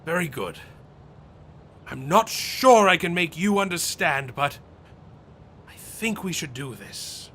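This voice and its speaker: Man playing a high-voiced, lively aging father. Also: takes it slowly, long pauses, a little hiss or hum, heavily acted. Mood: hesitant, intrigued. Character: affectionate, hesitant aging academic father. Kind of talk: radio play acting.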